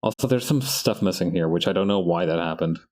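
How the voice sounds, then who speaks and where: A man's voice: low-pitched, fairly quick, a little mellow. A man streaming his art, chatting casually and rambling to himself as he works, often restarting his sentences. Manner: rambling, self-correcting, talks to himself as he works. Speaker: artist streaming his work. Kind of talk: casual live-stream chat while drawing.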